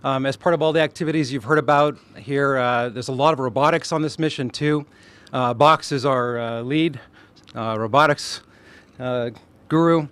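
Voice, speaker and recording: Astronaut at a press event, eager and rambling, lots of fillers, plain mid voice. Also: male voice, medium pitch, normal speed, a bit bright, clear, resonant tone. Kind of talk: press statement, loosely spoken.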